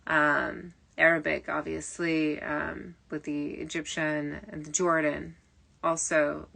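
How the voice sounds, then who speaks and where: A woman's voice: low-pitched, gravelly, sharp tone. A woman talking as a spiritual medium in a rambling, digressive late-night stream, full of fillers and restarts, with faint music behind her. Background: faint early nineties music.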